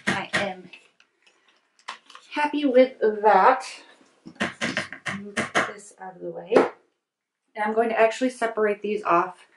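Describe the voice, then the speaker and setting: A middle-aged woman hosting a soap-making video. She talks through each step in a chatty way while she mixes at her kitchen table, sometimes softly and sometimes brightly. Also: mid-range voice, slow pace, long pauses, heavy background noise. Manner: chatty, thinks aloud while mixing, varies from soft to lively. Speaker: soap-making channel host. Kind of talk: casual how-to narration while working. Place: home kitchen table, overhead camera.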